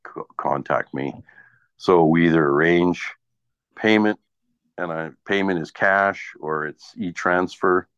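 A man's voice: low voice, a bit bright. A man chatting casually in a blunt, grumbling, sweary way. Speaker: small business owner and online seller. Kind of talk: casual chat. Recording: dull lo-fi sound in echoey room.

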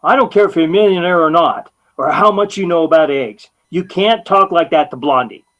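An actor playing a sheepish, excitable young husband in an old radio comedy, hesitant, boyish and earnest. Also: male voice, fairly high pitch, brisk, lo-fi sound, natural voice. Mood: indignant. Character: sheepish, excitable, bumbling young husband, loyal to his wife. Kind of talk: 1940s radio comedy acting.

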